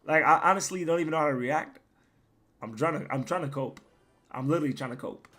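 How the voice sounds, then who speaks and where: A young man streams his game with a hoarse, worn-out voice that still has a bright, forceful edge. He rambles casually and swears freely between plays. He sometimes breaks into loud yells. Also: on the high side, fast talker, faint music behind. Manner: profane, rambling, bursts into loud yelling. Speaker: gaming streamer. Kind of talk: casual live gameplay commentary.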